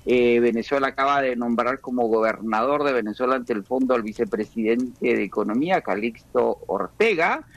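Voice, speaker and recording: A Peruvian-born man speaking as an economist guest in a radio interview, explaining at a measured pace with frequent restarts. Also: mid-range voice, no echo. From Peru.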